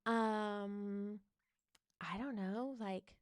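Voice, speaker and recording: A woman's voice: mid-range voice, steady tone, drawn-out words, clean tone, no echo, clean studio sound. A woman from upstate New York with a slight upstate New York accent, co-hosting a podcast in a laid-back way, with lots of ums. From northern New York. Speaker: podcast co-host, real estate agent. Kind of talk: casual chat. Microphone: podcast mic at her desk.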